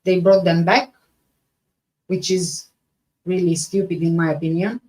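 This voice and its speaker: A woman from Romania with a Romanian accent chats casually as a livestream host, answering viewers in a halting way that often repeats and rephrases. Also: lowish voice, steady tone, darkish tone, low-quality recording.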